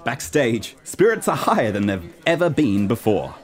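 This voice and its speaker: A man's voice: medium pitch, very animated, moderate pace. A man reading scripted stage directions as the narrator of a radio play. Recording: clear background noise.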